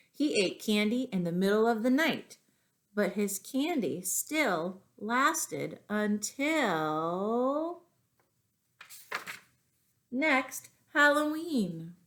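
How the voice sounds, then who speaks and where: Slow-paced woman reading to young children. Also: medium pitch. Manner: expressive, addresses children with questions. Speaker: children's storytime reader and teacher. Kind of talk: read-aloud storytelling for young children with asides and questions.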